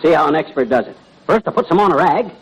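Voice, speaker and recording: An actor playing a cocky, bragging husband in 1940s radio comedy, wisecracking and teasing. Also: male voice, fairly high pitch, animated, fast, breathy edge, highs cut off. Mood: boastful. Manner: boastful, wisecracking, teasing nicknames. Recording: noisy old radio recording before studio audience.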